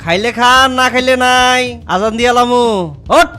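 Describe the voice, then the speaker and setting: A man voicing a mosque announcer in a cartoon who calls people to eat before dawn in a slow announcing manner. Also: very high voice, steady tone. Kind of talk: cartoon voice acting. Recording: with music behind.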